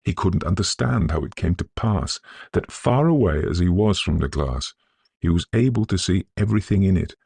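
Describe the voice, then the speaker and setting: Very deep husky man narrating. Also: darkish tone, dry recording. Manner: measured, scholarly storytelling. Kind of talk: literary audiobook narration of a Victorian ghost story.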